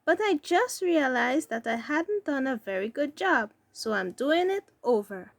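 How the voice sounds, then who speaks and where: A woman voicing an earnest young boy who sells cherries in a read-aloud storybook, with simple expressive delivery. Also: high-pitched, measured pace, creaky, no echo, exaggerated voice. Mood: sheepish.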